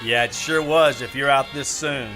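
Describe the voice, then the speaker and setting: An actor playing a wisecracking, world-weary private detective in a radio drama, with a wry, clipped, sardonic delivery. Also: male voice, medium pitch, normal speed, music in the background, lightly acted. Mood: dry, wry.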